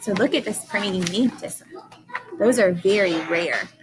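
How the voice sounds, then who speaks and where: A woman's voice: mid-range voice, a little slow, noisy background. A woman teacher reading aloud to young children, explaining and asking questions in simple, emphatic language.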